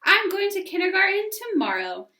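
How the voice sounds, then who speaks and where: A woman's voice: extremely high pitch, big pitch swings, normal speed, sharp tone, pitched above the performer's own voice, exaggerated voice. A woman voicing an eager, dramatic little kindergarten girl, exclaiming as in a children's storybook read aloud. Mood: joyful, determined. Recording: echoey room.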